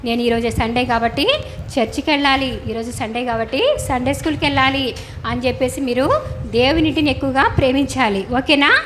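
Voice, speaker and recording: A woman with a high voice hosts a children's puppet lesson as a Sunday school teacher. She instructs and keeps asking questions, with noticeable ambient noise behind her. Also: a little fast, a bit bright. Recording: clear background noise, ambient sound.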